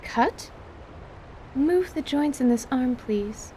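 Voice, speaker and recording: Placid female surgeon for fantasy audio drama woman actor, high-pitched, formal, precise bedside manner, faint noise behind. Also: expressive, slow, dark tone. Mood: clinical, calm. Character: female field healer, placid, clinical and earnestly inquisitive.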